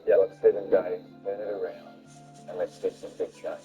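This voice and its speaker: A man talks casually and without a script. His voice is on the low side, and the sound is dull and lo-fi. Faint music runs behind him. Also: flat delivery, normal speed, warm tone. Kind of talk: casual unscripted talk.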